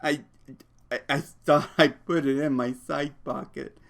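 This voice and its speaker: A man's voice: on the high side, steady tone, vocal fry, a bit bright, voice pushed up. A man doing a stammering childlike big man. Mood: eager, childlike. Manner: slow, pleading, halting. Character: big simple-minded man, childlike, gentle. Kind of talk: audiobook character voice.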